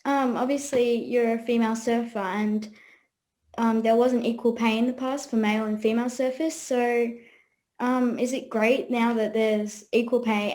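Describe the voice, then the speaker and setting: High-voiced teenage student interviewer. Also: female voice, darkish tone. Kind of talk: prepared interview questions.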